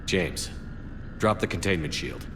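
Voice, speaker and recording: Deep-voiced commander. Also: male voice, unhurried. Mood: commanding. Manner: clipped, commanding. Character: male military commander, steady, decisive. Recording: reverb and clear noise.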